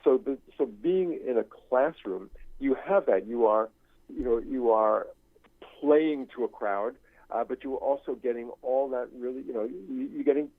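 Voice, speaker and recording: An older man speaks as an interview guest through a narrow, phone-like line with no room sound. His pitch sits in the middle of the male range. He talks haltingly, searching for words and looping back over phrases as he explains. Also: dry recording. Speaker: guest, screenwriting professor and writer. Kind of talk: unscripted interview talk.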